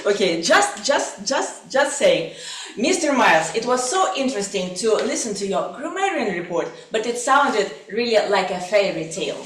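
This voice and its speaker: A woman hosting a club meeting, effusive and quick to laugh while praising members. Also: medium pitch, very animated, far from the mic. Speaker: meeting evaluator and host. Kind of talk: lively meeting chat. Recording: echoey room from off mic, loud noise.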